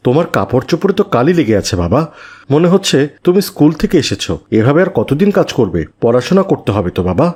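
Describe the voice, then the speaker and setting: Man playing a kindly, very expressive rich gentleman. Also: medium pitch, fast talker, breathy edge. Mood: sympathetic. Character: kindly, wealthy, fatherly businessman. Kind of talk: children's moral cartoon dub.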